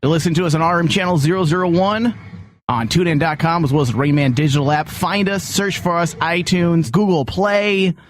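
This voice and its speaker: A man's voice: on the high side, fairly quick, slight background noise. A man hosting a casual comedic radio chat, blunt and self-deprecating in his joking. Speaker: show host. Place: radio studio.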